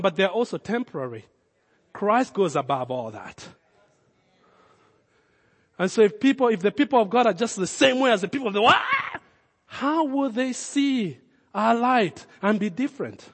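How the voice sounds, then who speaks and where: A man with a Kenyan accent, a pastor giving a sermon, swinging from loud, emphatic calls to low, earnest asides. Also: long reverb tail. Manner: impassioned preaching, rising loud then dropping low and intimate.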